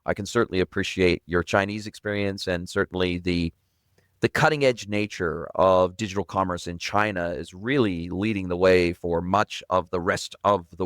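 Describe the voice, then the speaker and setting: A man's voice: low voice, no room sound, clean studio sound. A man hosting a podcast, talking in long, emphatic statements. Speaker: podcast host. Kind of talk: podcast interview.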